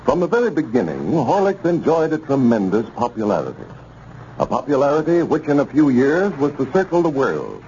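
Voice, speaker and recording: Man, 1930s radio announcer, formal and emphatic, animated pitch, muffled old recording, faint hiss. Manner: formal, emphatic sales delivery.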